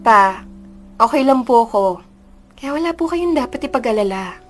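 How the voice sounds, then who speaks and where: A woman's voice: on the high side, expressive, normal speed, faint music behind, natural voice. An actress playing a feisty, indignant young female student in a Filipino radio drama, with an emotional, dramatic delivery. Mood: meek, upset. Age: young adult.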